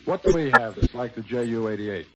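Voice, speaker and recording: A man's voice: mid-range voice, moderate pace. An American man giving a scripted 1940s radio war report in a wry, dryly humorous manner. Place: on an airfield flight line. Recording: noisy, muffled 1940s radio broadcast.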